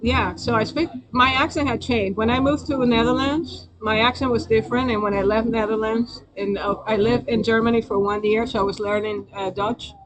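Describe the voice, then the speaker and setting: A woman's voice: on the high side, steady tone, brisk, few pauses, a crowd in the background, rough recording. A woman with a Spanish accent hosting a live stream, chatting loosely to viewers in a rambling, hesitant way. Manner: rambling, hesitant, many fillers and restarts. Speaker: livestream host.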